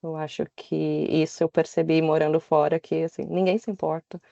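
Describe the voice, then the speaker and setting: A woman's voice: deep voice, little pitch movement, brisk, gravelly, warm tone, no room sound, muffled recording. A woman in her thirties with a Brazilian Portuguese accent, talking casually about her own experiences as a podcast guest. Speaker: podcast guest living abroad. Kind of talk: casual conversational storytelling.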